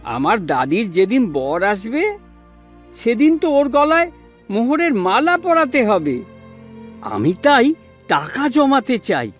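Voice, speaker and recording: A man's voice: extremely high pitch, big pitch swings, fairly bright tone, faint music behind, full character voice. An actor playing a doting old village grandfather, a humble doll maker, speaking tenderly in an audio story drama. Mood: pleading, earnest. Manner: slow, tender, drawn-out speech.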